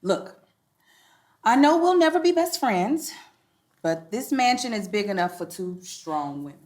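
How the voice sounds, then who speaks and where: An American woman playing a strong-willed, affluent suburban mother and widow in a live comedy script reading, frank and expressive. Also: fairly high pitch, unhurried. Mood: conciliatory.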